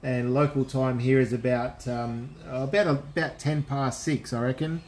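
A man's voice: medium pitch, steady tone, measured pace, darkish tone. Australian man chatting on ham radio. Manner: matey, uses radio call phrases. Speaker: amateur radio operator. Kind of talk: casual ham radio contact. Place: indoors at a radio set.

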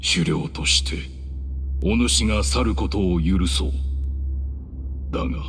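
Deep, stern old temple leader. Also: male voice, slow pace, slight background noise. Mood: grave, condemning. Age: older. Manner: archaic, solemn, imperious speech. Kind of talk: dramatic video game acting. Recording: dramatic music behind the voice.

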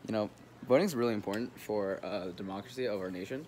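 A man's voice: on the low side, quick pace, a little mellow, slight background noise. A young man joking around in a casual vacation vlog, filmed on a phone at arm's length at a beach house. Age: young adult. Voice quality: joking, goofy manner. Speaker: vlogger. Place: beach house and shore outdoors. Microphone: phone camera at arm's length.

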